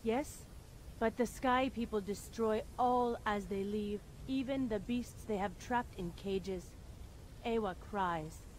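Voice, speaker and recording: A woman's voice: medium pitch, takes it slowly, darkish tone, slight background noise, close to an everyday voice, poor audio. A woman playing a grave, sorrowful alien clanswoman in a video game, serious and foreboding in her formal speech.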